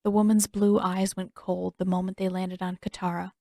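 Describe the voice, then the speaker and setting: A woman's voice: lowish voice, little pitch movement, normal speed, creaky, mellow, dry recording, studio quality. A woman reading scripted story narration as an audiobook narrator. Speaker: audiobook narrator and fan fiction writer.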